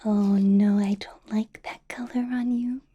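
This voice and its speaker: A woman playing a doting, possessive vampire boss in a soft, breathy whispered ASMR roleplay, coaxing and fussing with a lightly bossy edge. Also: medium pitch, fairly even intonation, a little slow, muffled recording. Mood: playfully stern. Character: doting, possessive female vampire boss, older than she looks.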